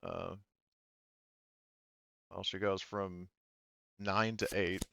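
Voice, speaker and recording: A man running a tabletop roleplaying game as game master, talking casually and haltingly as he thinks aloud. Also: low-pitched, level tone, creaky, pushed and bright, slight background noise.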